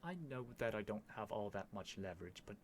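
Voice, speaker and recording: A man's voice: fairly low pitch, brisk, a little hiss or hum, lightly acted. A man playing a stubborn, flustered young prince with haughty, theatrical phrasing in an ASMR roleplay. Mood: shy, flustered.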